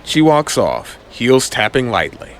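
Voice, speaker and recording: A man's voice: mid-range voice, sing-song, measured pace, a little hiss or hum. A man narrating a scripted audio comic story in a dramatic storytelling style.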